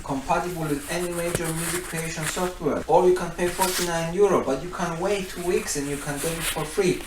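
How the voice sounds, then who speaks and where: Off-camera male crew voice, slightly high, distant from mic, roomy echo. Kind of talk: interjections from off camera. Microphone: away from the microphone, off camera. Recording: distant, echoey, noisy room with clicks.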